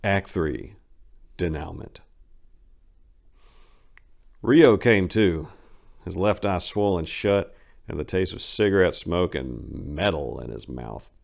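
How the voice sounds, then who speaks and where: Guy reading a short story real dramatic, lowish voice, swings up and down a lot. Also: animated, slow pace, vocal fry, long pauses. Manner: theatrical, emphatic. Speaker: narrator.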